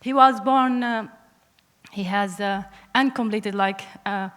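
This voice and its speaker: A young woman with a Syrian Arabic accent speaks earnestly in halting English from the floor of a panel. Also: medium pitch, fairly bright tone. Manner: halting non-native English. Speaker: audience member, film student. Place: large panel hall, public address microphone. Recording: echoey hall with long reverb.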